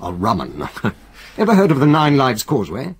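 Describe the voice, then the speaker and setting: A hearty old solicitor in a radio play, chuckling and theatrical. His husky voice leaps around in pitch as he relishes his tale. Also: huge pitch swings, moderate pace, exaggerated voice. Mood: storytelling. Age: older. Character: old jovial solicitor, Mr Bentley. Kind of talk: radio drama acting.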